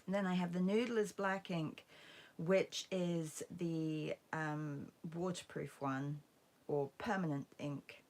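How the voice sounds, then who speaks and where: A woman with a hoarse, croaky voice after a concert, chatting casually with viewers as she hosts an art livestream. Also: low-pitched, steady tone, slow pace, darkish tone. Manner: chatty, greets viewers by name. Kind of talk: casual livestream chat while painting.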